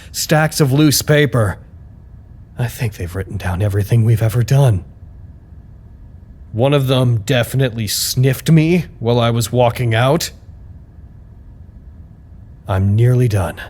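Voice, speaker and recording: A male actor playing an amiable, nervously upbeat staffer who narrates his report in a wry, expressive, conversational way for an audio drama. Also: medium pitch, measured pace, long pauses, close to an everyday voice. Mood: nervously determined. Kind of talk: scripted audio drama monologue, personal report.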